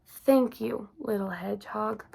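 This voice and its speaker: A woman voicing a gentle, caring mother deer in a storybook, soft-spoken. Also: medium pitch, a little slow, gravelly.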